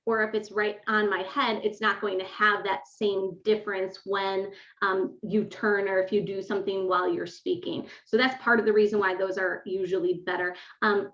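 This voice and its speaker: A woman with a loud voice presenting a webinar on course design, explaining step by step with fillers, on a desk microphone in a home office. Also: mid-range voice, roomy sound. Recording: dull lo-fi webinar audio.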